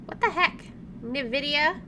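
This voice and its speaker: A woman's voice: high-pitched, expressive, takes it slowly, pushed and bright. A woman hosting a casual game playthrough, chatty and playful with wry asides as she comments and reads aloud. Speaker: gaming video host. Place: indoors at home, air conditioner running. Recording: clear background air conditioner noise.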